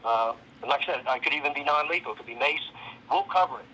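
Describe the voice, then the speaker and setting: Fast-talking, bright-voiced man on the phone. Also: medium pitch. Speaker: legal defense program representative. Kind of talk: conversational explaining on a phone call. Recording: phone call with faint background noise.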